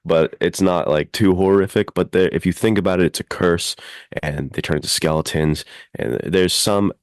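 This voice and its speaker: Very deep-voiced man, podcast chat. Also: moderate pace, clean tone, dry recording. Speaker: podcast co-host. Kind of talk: casual pop-culture podcast chat.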